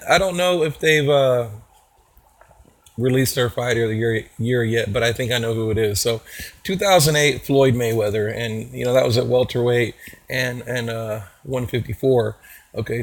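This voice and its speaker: A man with a hoarse voice from a cold, talking casually to his viewers as a channel host, rambling with many fillers and restarts. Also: mid-range voice. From Texas. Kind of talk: casual talk to camera.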